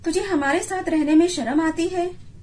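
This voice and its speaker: A woman's voice: extremely high pitch, normal speed, darkish tone, distant mic, voice pushed up. A woman playing a hurt, tender, reproachful village mother in a dramatised moral story.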